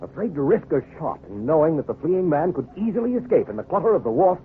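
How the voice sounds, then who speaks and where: Animated, higher-pitched male radio narrator. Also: quick pace. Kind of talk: old-time radio dramatic scripted narration. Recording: tinny vintage radio, steady hiss.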